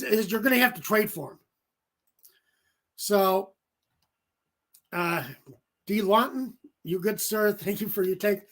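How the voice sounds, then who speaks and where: A man hosting a sports talk show on his own, giving rambling commentary full of fillers and self-corrections, his voice going raspy from talking for an hour. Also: high-pitched, a bit bright. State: voice getting raspy. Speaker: solo sports show host.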